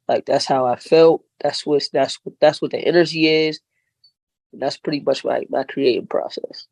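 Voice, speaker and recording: A woman's voice: low voice, steady tone, moderate pace, vocal fry, a little mellow, dry recording. A woman rapper talking as a guest in a casual podcast interview, laid-back and slangy, often saying "you know what I'm saying".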